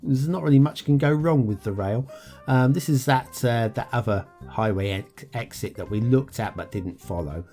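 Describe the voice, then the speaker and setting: A man's voice: medium pitch, normal speed, warm tone, soft background music. Chatty man reviewing game maps. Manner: chatty, self-mocking, chuckles often, trails off with ums. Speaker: game map reviewer, show host. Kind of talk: casual gameplay commentary.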